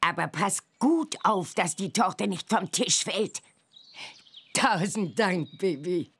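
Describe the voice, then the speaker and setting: A woman's voice: lowish voice, lively intonation, takes it slowly, husky, exaggerated voice. An actress playing a fussy, friendly witch aunt in a children's cartoon game, with a theatrical, flustered manner. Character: fussy, friendly middle-aged witch auntie.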